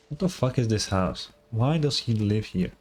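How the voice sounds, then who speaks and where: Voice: medium pitch, expressive, unhurried, darkish tone. A streamer giving casual, blunt, sweary reaction commentary on a video game. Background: game music.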